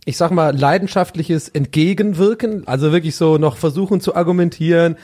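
A man's voice: on the high side, fairly quick. A man with a Cologne accent, co-hosting a podcast in casual, jokey chat and telling stories with animation. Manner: jokey, animated storytelling with laughs. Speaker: podcast co-host.

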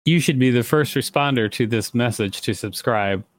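A man's voice: medium pitch, normal speed, dry recording. A man co-hosting a podcast, reading encyclopedia text aloud. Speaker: podcast co-host and reader.

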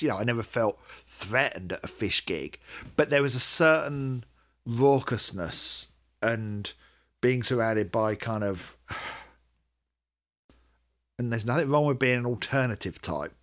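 A man's voice: medium pitch, expressive, a little slow, fairly bright tone. Rambling middle-aged man chatting. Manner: hesitant, rambling, self-correcting. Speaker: podcast host. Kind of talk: casual chat about music fandom.

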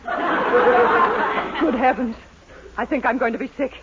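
A woman's voice: high-pitched, sing-song, slow pace, a loud crowd, heavily acted. An American woman playing a tart, easily appalled middle-aged wife in a 1940s radio sitcom, haughty and exclamatory. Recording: muffled noisy 1940s radio recording.

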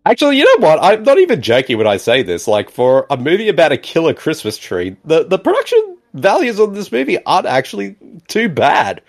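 A man's voice: on the high side, huge pitch swings, a little fast. A man hosting a casual movie commentary podcast, laughing often and saying "exactly" and "indeed" again and again. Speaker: podcast host.

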